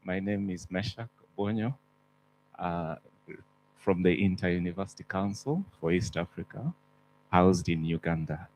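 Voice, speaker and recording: A man delegate giving a hesitant, low-key introduction at a conference. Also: a little slow, darkish tone, slight background noise, poor audio.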